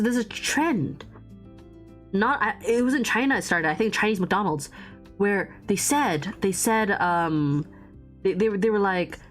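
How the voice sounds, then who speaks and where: A young woman streaming while she draws, chatting casually with viewers in a giggly, rambling way. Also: mid-range voice. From Vietnamese family, born outside Vietnam. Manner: chatty and giggly, lots of restarts and fillers.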